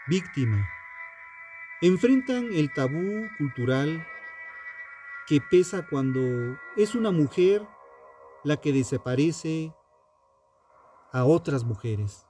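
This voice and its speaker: A man delivers scripted true crime narration at a slow, weighty pace. His tone is soft-edged and warm, while the delivery stays solemn and dramatic, each phrase set down deliberately. Faint music plays behind him. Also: on the high side.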